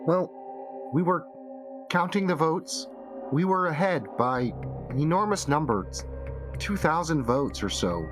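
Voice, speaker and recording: A man voicing a flustered, regretful woman in a tabletop game, halting and earnest as a wife pleading her case. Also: on the high side, expressive, over music. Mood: earnest explaining. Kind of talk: tabletop roleplay acting.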